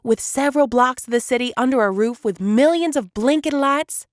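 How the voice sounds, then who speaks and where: A woman's voice: sits high, normal speed, no echo, studio quality, close to an everyday voice. A woman playing an eager, scheming small-town dreamer in a cartoon, chatty and enthusiastic. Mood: excited. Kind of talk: animated comedy dialogue.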